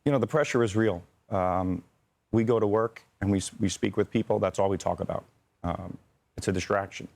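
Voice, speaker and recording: Deep male voice, gravelly, halting, matter-of-fact, plain-spoken interview guest. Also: a little mellow. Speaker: air traffic controller, interview guest.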